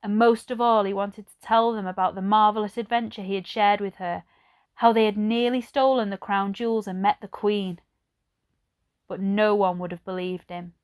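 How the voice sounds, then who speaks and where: A woman reading a children's story aloud like a teacher, with measured, expressive phrasing. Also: mid-range voice, clean tone.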